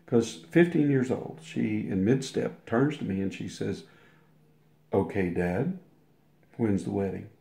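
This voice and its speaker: A man's voice: on the low side, unhurried, creaky, warm tone. An older man telling a long personal anecdote as an interview guest, rambling with restarts and acting out the quotes. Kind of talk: casual storytelling. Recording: roomy echo.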